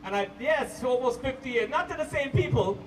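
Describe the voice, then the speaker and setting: A man with a Canadian accent performing stand-up comedy to a live audience, telling stories playfully with drawn-out emphasis and pauses. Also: extremely high pitch, expressive, roomy sound. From Toronto, Canada. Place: live comedy show at a park venue. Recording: distant mic, hissy live-show camcorder sound.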